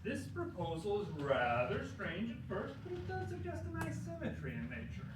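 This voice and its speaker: A man performs a dramatic reading in a theater, his voice high and very animated. He moves haltingly through the text with mock-dramatic weight and deliberate pauses. He is heard from far off in the room. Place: indoor theater, live performance. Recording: noisy, echoey theater, recorded from far off.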